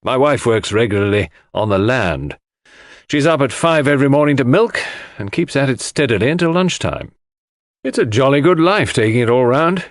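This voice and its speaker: A man voicing an exasperated, outspoken English country gentleman with slangy upper-class talk in an audiobook. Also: mid-range voice, lively intonation, moderate pace, no echo, voice pushed up, natural voice. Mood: genial. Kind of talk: audiobook character dialogue.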